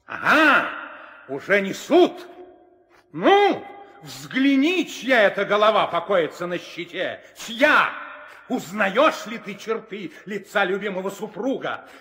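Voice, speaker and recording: A man's voice: sits high, sing-song, takes it slowly, sharp tone, exaggerated voice. An actor playing an arrogant, cruel demon king in a theatrical 1960s audio drama, gloating and declamatory. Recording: reverberant studio drama recording with music.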